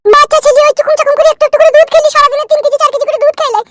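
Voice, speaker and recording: Squeaky, rapid-fire bossy village aunt. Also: male voice, fairly even intonation, noisy background. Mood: bossy advising. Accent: rural West Bengal. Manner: chattering, preachy, earthy. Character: bossy older village aunt, nosy, frank, full of advice. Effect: pitched-up voice. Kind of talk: comic cartoon dialogue. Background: music.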